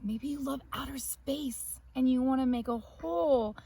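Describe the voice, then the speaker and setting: A woman's voice: high voice, a little slow, creaky, dark tone, breathy edge. A woman speaking as an elementary teacher to young children, reading aloud and explaining things simply, step by step. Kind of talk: read-aloud and children's art lesson.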